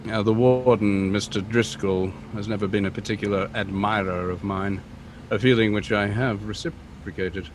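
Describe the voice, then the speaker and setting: An actor playing a composed, refined gentleman sleuth in an old-time radio drama, speaking formally. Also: on the low side, fairly even intonation, normal speed, natural voice. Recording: noisy vehicle ambience behind.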